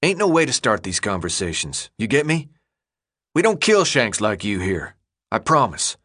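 Man acting gruff, impatient teenage boy leader. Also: on the low side, big pitch swings, normal speed, pushed and bright, heavily acted. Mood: gruff, exasperated. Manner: blunt, slangy, clipped commands. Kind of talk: audiobook character dialogue.

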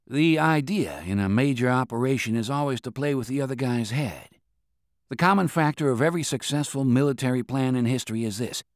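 A man's voice: mid-range voice, fairly quick, no room sound, clean studio sound, lightly acted. A man doing a brash, profane veteran officer. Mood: forceful. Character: blunt veteran male military officer.

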